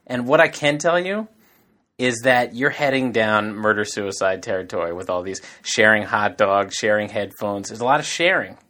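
Bright-voiced man bantering defensively. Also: lowish voice, moderate pace. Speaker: podcast co-host. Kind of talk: casual comedic chat.

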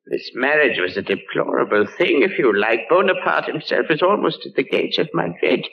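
An actor playing a solemn, merciless Grand Inquisitor in an old radio drama, measured and declamatory. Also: male voice, fairly high pitch, very animated, vocal fry, a little hiss or hum. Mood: stern, questioning. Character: solemn, commanding Spanish Grand Inquisitor priest, coldly merciless. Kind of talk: 1940s-50s radio drama acting. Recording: dull old radio recording.

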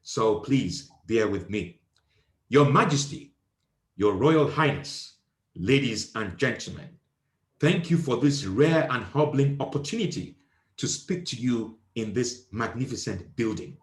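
A man hosting a podcast as a grandiose comedic president, speaking in a put-on British accent with a formal, self-important deadpan manner. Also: medium pitch, a little slow. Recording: roomy echo.

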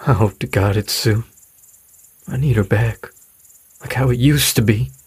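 An actor playing a troubled, frustrated middle-aged father in a naturalistic audio drama, speaking haltingly and downcast. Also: mid-range voice, breathy edge, no echo. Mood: weary, pleading. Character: weary, troubled, frustrated widowed father of a teenager.

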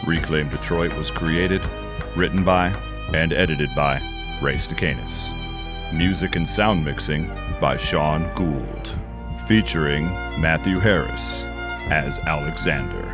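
Very deep-voiced male announcer over loud music. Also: slow pace. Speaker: credits announcer. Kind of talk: scripted credits read. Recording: loud music with strings behind. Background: loud music, violin.

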